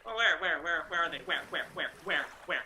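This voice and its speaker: A man playing Anxiety personified, a jittery, childishly defiant fireball who stutters and repeats words at rapid fire in a play reading. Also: on the high side, expressive, sharp tone, slight background noise. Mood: jittery, eager.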